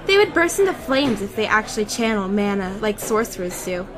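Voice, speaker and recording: A woman's voice: mid-range voice, sing-song, brisk, lightly acted. A woman playing a proud, composed non-human sorceress in a fantasy audio drama, explaining things in a matter-of-fact, lofty way. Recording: music and crowd chatter behind.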